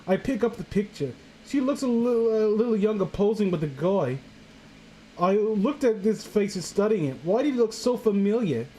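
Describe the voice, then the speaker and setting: A woman's voice: mid-range voice, lively intonation, moderate pace, dark tone, a little hiss or hum, poor audio. A woman hosting a casual comedic reading, joking crudely and irreverently as she riffs with friends. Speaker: host of a story-reading show.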